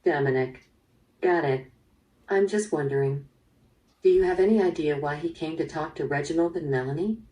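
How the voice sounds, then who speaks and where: Female police interrogator, played by an actress: low, dark voice, businesslike and probing, radio-drama style, a bit off-mic. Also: normal speed, natural voice. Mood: probing, measured. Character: probing female police detective questioning a murder suspect. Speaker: voice actor in interactive audio drama. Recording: played through speaker, slightly distant.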